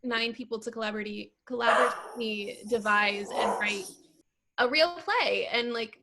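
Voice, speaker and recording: A woman's voice: a little high, moderate pace, a loud crowd. A woman theatre performer chatting casually as one participant in a group discussion. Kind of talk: casual chat.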